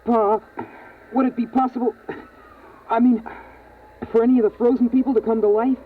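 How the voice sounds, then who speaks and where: A man's voice: extremely high pitch, flat delivery, moderate pace, a little hiss or hum. A young male actor playing an earnest, wondering boy in a 1950s radio drama, with plain, homespun phrasing. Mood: anxious, questioning. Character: earnest, wondering young boy narrating a bleak frozen world. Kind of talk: 1950s radio drama acting and first-person narration. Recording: tinny vintage radio recording with music.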